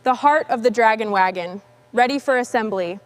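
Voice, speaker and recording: A woman with a somewhat high-pitched voice reads scripted narration. She presents mechanical restoration work in short, simple statements. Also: moderate pace, no echo.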